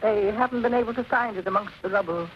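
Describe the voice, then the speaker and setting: A woman's voice: medium pitch, quick pace, close to an everyday voice. An actress playing a grave, worried middle-aged mother, speaking hesitantly in 1940s radio style. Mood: grave, troubled. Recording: dull, faintly noisy 1940s radio recording.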